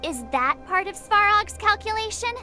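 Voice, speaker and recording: A woman's voice: very high voice, expressive, normal speed, bright, forceful tone, faint music behind, exaggerated voice. A woman voicing a perky, outgoing teenage girl adventurer in a video game, chatty and emphatic. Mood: eager, persuasive.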